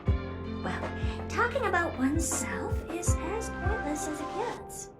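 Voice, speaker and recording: A woman's voice: very high voice, very animated, a little slow, echoey room, loud music behind the voice, full character voice. A woman voicing an aloof, blunt, self-assured young-looking genius in a video game cutscene, haughty and nonchalant. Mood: aloof, dismissive. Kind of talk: animated game cutscene dialogue.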